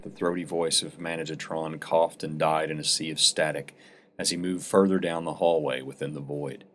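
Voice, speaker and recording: A man's voice: very deep voice, steady tone, normal speed, heavy background noise. A man narrating a science fiction short story as a scripted, dramatic reading.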